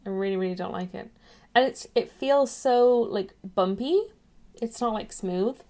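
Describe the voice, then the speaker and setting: A woman's voice: mid-range voice, measured pace, a little mellow. A young woman chats casually to her viewers at home, rambling and drifting off topic as she talks into a webcam. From England, raised in Ireland. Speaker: YouTuber. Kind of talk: casual chatty vlog.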